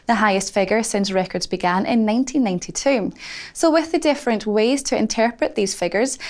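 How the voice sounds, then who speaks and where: A woman's voice: a little high, darkish tone. A woman presenting financial news, reading scripted interview questions in a formal broadcast style.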